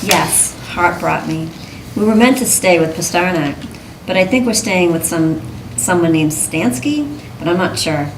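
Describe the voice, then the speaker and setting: A woman's voice: fairly low pitch, expressive, unhurried. A woman playing a shy, fragile young woman who talks timidly and unsurely. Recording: echoey room with clear noise.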